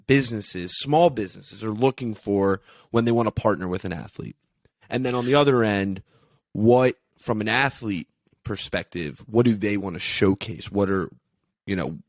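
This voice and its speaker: Co-host, man in his twenties, low voice, dry sound, teasing casual delivery. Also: no echo. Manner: joking, teasing, then asking questions. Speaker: podcast co-host. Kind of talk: casual podcast chat.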